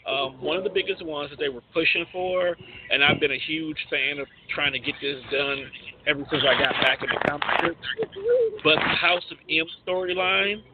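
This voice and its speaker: A man's voice: a little high, normal speed. A man hosting a casual radio show, explaining things in slangy, emphatic phrasing. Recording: tinny phone-like line, faint noise.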